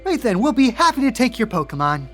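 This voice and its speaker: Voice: mid-range voice, sing-song, fast talker, voice pushed up, close to an everyday voice. A game master voicing a cheery, slightly flustered small-town nurse, politely helpful, in a tabletop roleplay podcast. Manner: bubbly, polite customer-service patter. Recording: music under the voice.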